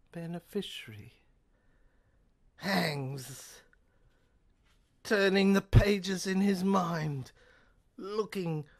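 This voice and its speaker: Female narrator for an audio serial: unhurried, halting, theatrical delivery with chant-like phrasing. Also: lowish voice.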